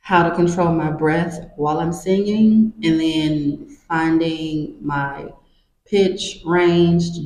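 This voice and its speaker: Casual woman vlogger, low-ish monotone, unhurried and rambling, a bit scratchy; long reverb in the room. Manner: chatty, rambling with many "like"s. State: slightly scratchy voice. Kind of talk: casual self-filmed vlog talk. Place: indoor room, cold. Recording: echoey room with long reverb, faint ambience.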